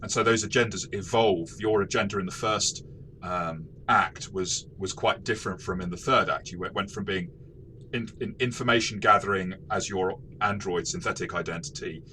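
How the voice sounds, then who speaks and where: A man's voice: sits low, moderate pace, pushed and bright. A man talking through a tabletop game review as a game master, in a casual, reflective, explanatory way. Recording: faint background noise.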